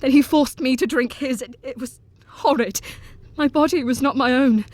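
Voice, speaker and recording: An actress playing a haunted young wife in an audio drama, who speaks in a halting, trembling way. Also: female voice, high voice, a little mellow, dry recording. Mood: shaken.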